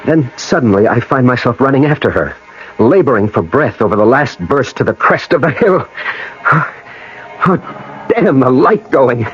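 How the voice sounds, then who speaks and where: A man's voice: mid-range voice, very animated, normal speed, lightly acted. A man playing an earnest American traveller in a radio drama, acting and narrating dramatically with an American accent. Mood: bewildered. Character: earnest, determined American traveller searching in Ireland. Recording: dull lo-fi vintage radio recording.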